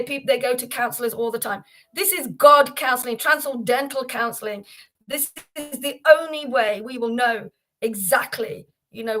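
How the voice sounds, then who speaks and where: A woman's voice: on the high side, a bit bright, low-quality recording. A woman hosting a devotional livestream, talking informally and repetitively to viewers, with many fillers and restarts, addressing them by name. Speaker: host of a devotional livestream gathering.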